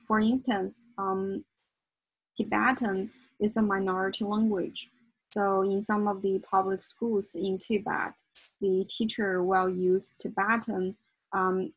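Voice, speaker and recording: Woman, lecturer, breathy voice, dry recording. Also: mid-range voice, little pitch movement, a little slow, dry recording, rough recording. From China. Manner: frequent ums and self-corrections. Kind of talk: academic presentation.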